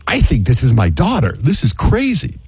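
An American man hosting a radio call-in show with casual, animated banter, often acting out imagined dialogue. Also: medium pitch, normal speed, slightly rough, no echo.

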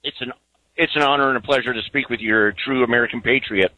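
A man's voice: medium pitch, fast talker, pushed and bright, no echo, rough recording. A man calling in to a talk radio show, earnest and rambling, with many ums. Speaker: caller.

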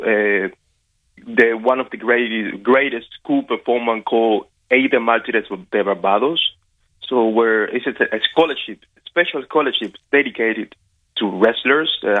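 A man from Cuba with a Cuban Spanish accent, a Spanish speaker, talking as an interview guest, hesitant with many fillers and restarts. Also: medium pitch. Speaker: guest, wrestling coach. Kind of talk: casual interview over a call. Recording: tinny phone-like line.

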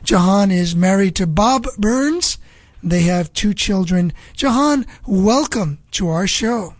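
Woman talk-show host with a breathy voice, measured and gentle interviewer. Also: medium pitch, expressive, no echo.

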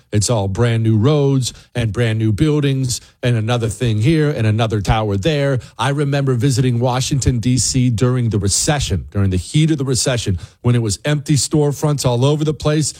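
A man's voice: mid-range voice, a little mellow, no room sound. An American man hosting a talk show, delivering an opinionated political monologue in an emphatic, blunt, sarcastic way with rhetorical questions. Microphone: close studio microphone.

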